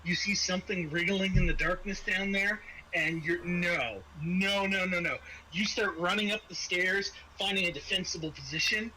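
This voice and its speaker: A man narrates a tabletop horror game as its game master. He talks casually and haltingly, doubling back on words as he explains rules. There is faint noise on the recording. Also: sits high. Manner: halting, repeats words and phrases.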